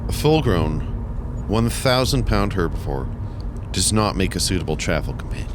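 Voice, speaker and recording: A man voicing Pete, the deadpan know-it-all. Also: low-pitched, normal speed, noisy background. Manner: deadpan, precise, corrective.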